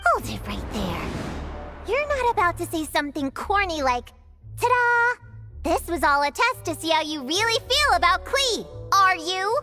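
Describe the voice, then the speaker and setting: A very high, animated little girl, a proud and feisty witch hunter from a video game. She blusters indignantly over clear background music. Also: normal speed, full character voice. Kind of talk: video game cutscene acting. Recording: music behind the voice.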